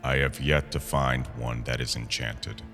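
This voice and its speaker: A man's voice: very deep voice, little pitch movement, unhurried, a bit bright, heavily acted. A man playing a bitter, subservient hunter in an audio drama, speaking formally and deferentially to his leader. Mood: subdued, obedient. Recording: long hall reverb. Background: eerie horror music.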